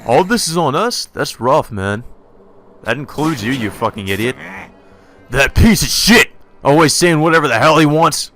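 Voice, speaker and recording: A man's voice: a little high, lively intonation, normal speed, a bit bright, faint music behind. A man voicing a hot-tempered, foul-mouthed young man in a video game, blunt and brash. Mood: angry. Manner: blunt, swearing.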